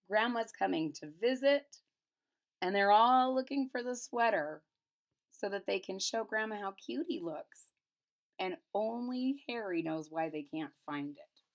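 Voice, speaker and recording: Woman reading a children's story aloud. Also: medium pitch. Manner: expressive, explanatory, pausing to retell the story simply. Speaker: storybook reader. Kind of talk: read-aloud of a children's picture book with casual retelling.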